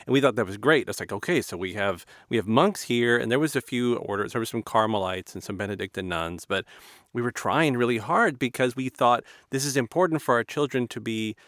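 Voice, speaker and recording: A man's voice: medium pitch, a little fast, fairly bright tone, dry recording, clean studio sound. An American man hosting a conversational interview, hesitant and rephrasing as he goes. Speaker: interview host.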